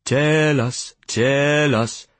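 A solemn chanter leading a prison work gang, as acted by a male narrator. He draws each phrase out slowly in a raised, declamatory sing-song, keeping time like a praise song in a storybook. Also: mid-range voice, steady tone, no room sound, voice pushed up. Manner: slow, rhythmic, declamatory. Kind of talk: sung chant.

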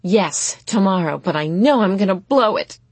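A woman's voice: medium pitch, lively intonation, normal speed, slightly rough, no echo. A woman voicing a self-absorbed, melodramatic teenage girl in a storybook, emphatic and repetitive. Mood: anxious, indignant.

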